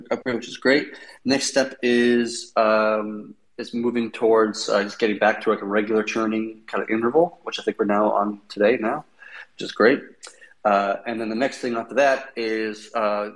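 Man, lowish voice, sniffly with a cold, rambling casual tech update. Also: poor audio. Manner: rambling, many fillers. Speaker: developer guest.

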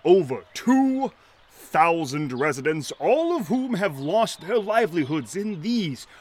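Florid, higher-voiced shantytown mayor. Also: male voice, very animated, slow pace, darkish tone, heavily acted. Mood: rousing, solemn. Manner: florid, formal oratory. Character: earnest, pompous, kindly shantytown mayor. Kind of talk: 1930s period audio drama acting.

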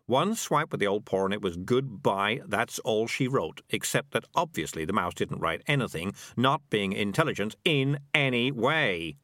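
A man's voice: on the low side, animated, normal speed, hardly any pauses, a bit bright, no echo, clean studio sound. A man voicing a smug, defensive talking tomcat in a storybook, with a dryly wry, self-justifying manner.

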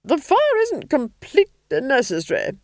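A woman voicing an imperious, sadistic duchess in a storybook, haughty, drawn-out and menacing. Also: high-pitched, huge pitch swings, no room sound, studio quality, pitched above the performer's own voice. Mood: cold interrogation.

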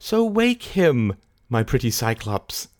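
A man's voice: mid-range voice, animated, a little mellow, no echo, studio quality, pitched above the performer's own voice, close to an everyday voice. A man voicing an intense, volatile woman, commanding yet fragile, in a dramatic audiobook reading. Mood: brisk, coaxing. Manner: dramatic, deliberate, mercurial.

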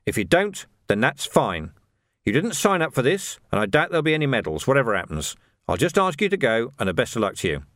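A man voicing a steadfast middle-aged police sergeant in an audiobook, measured, firm and wry. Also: medium pitch, sing-song, a bit bright, dry recording, clean studio sound. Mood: earnest, resolute.

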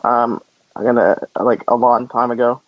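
A man's voice: medium pitch, fairly even intonation, normal speed, no room sound, dull audio. A man co-hosting a sports podcast over a remote call, giving casual, opinionated takes. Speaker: podcast co-host.

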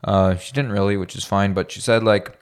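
A young man chats with a low voice. His talk meanders and he leans on filler words as he thinks aloud. He sounds easygoing and unhurried. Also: clean tone. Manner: relaxed, rambling, heavy use of "like". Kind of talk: casual chat.

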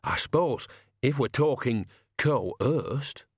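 A man's voice: medium pitch, lively intonation, slow pace, husky, no room sound, studio quality, voice pushed up. A man voicing a nervous, hesitant coach driver in an audiobook. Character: timid, flustered male coach driver.

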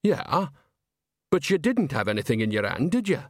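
A man's voice: on the high side, big pitch swings, normal speed, no echo, clean studio sound, pitched above the performer's own voice. A man voicing a chatty, homely, cheeky old woman witch in an audiobook, folksy and rambling. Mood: chatty, sly. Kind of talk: audiobook character acting.

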